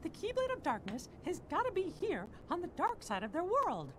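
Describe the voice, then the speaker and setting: A tiny, heroic mouse king, speaks in a very high falsetto that swings widely in pitch. He sounds earnest and urgent, like a game cutscene hero, over faint ambient noise. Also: huge pitch swings, moderate pace, a bit bright, heavily acted. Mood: determined. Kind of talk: video game cutscene acting.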